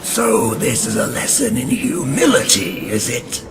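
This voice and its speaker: Male contemptuous vampire avenger for audio drama: low, gravelly, slow and theatrical, swooping in pitch, over music. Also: wildly swooping pitch. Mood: dry sarcasm. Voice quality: gravelly, creaky. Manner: brooding, theatrical, drawn-out delivery. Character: proud male vampire hunter, grim, vengeful and contemptuous. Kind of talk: dramatic first-person narration in an audio drama. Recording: music under the voice.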